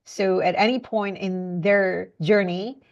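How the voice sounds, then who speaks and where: A woman talks as a guest on a podcast, earnest and halting as she searches for words. Her middle-range voice has a gravelly, fry-edged texture. She restarts her sentences often and leans on small fillers. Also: medium pitch, fairly even intonation, highs cut off. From Indonesia. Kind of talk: conversational interview.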